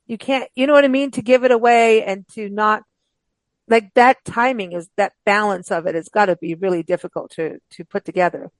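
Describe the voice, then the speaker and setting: A woman's voice: mid-range voice, brisk, no echo, rough recording. A talkative woman hosts a casual interview show. Her voice sits in a middle register and she rambles informally from thought to thought. The sound is dry, with very little room in it. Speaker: host of a books radio show.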